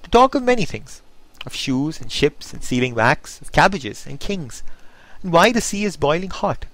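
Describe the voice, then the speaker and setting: A man's voice: a little high, big pitch swings, moderate pace, fairly bright tone, pitched above the performer's own voice. A man voicing a genteel, hypocritical walrus from a storybook poem, declamatory and falsely kind. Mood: grandly chatty.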